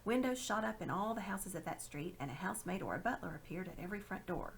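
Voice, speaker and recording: A woman reading a children's story aloud with dramatic, expressive narration. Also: lowish voice, quick pace, gravelly, a little hiss or hum. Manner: dramatic, animated. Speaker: storybook reader.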